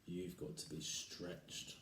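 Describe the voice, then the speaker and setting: A man voicing a cruel old husband who taunts his wife with loud, slow, gloating lines. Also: mid-range voice, big pitch swings, slight background noise, pitched above the performer's own voice, lightly acted. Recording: echoey room.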